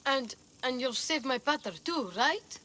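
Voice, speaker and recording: Young boy, earnest, childlike, plaintive, high voice, video game cutscene. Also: a little slow, pushed and bright, a little hiss or hum. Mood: hopeful. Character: earnest, grieving young boy, devoted to his father.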